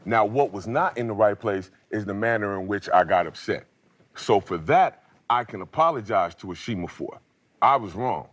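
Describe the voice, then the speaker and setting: A man on a reality show reunion, lecturing in a firm, measured way. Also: fairly low pitch, animated, fairly bright tone. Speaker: reality show cast member. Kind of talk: arguing at a televised reunion.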